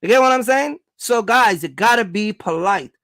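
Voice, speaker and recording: A man's voice: sits high, lively intonation, normal speed, a bit bright, no room sound, clean studio sound. A man hosting a casual Q&A for viewers, giving business advice in colloquial, slangy phrasing and repeating his points for emphasis. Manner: repetitive, emphatic urging.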